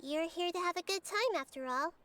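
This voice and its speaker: A woman voicing a gentle, wise girl goddess in a game, talking softly and kindly, with game music behind. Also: very high voice, a little fast. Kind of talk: game character dialogue.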